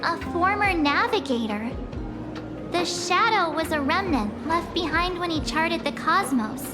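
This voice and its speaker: Game role: curious young adventurer girl, extremely high voice, sincere, music underneath. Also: animated, a little slow. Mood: earnest, puzzled.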